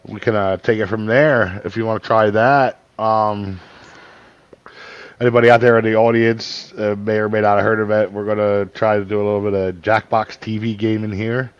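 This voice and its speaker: Male stream host, low register, casual talk, slightly muffled lo-fi sound. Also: little pitch movement, moderate pace, smooth, no room sound. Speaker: livestream show host. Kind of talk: casual chat.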